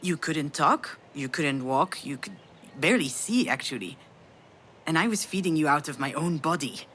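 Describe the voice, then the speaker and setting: A woman's voice: sits low, animated, moderate pace, fairly bright tone, a little hiss or hum. A woman playing a damaged, grieving mother in a narrative video game, confiding and hesitant as she reflects. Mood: tender, reflective.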